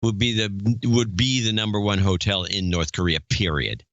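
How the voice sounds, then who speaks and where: A man co-hosting a podcast, gruff and offhand as he reads off names. His voice sits low, and the sound is dry and somewhat muffled. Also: normal speed, a bit bright, dry recording. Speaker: podcast co-host. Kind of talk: casual chat, reading donor names.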